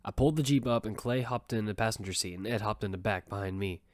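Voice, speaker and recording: A man's voice: lowish voice, quick pace, no room sound, close to an everyday voice. A man narrating as an earnest young squad leader. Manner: urgent, earnest storytelling. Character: young male squad leader narrating his own story. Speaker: narrator and lead character. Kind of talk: first-person audio fiction narration. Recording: music bed underneath.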